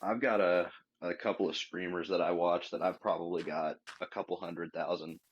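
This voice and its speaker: Deep-voiced easygoing man. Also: steady tone, moderate pace, breathy edge, lo-fi sound. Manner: easygoing, laughs along, teasing. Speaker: friend on voice chat. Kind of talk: casual gaming chat.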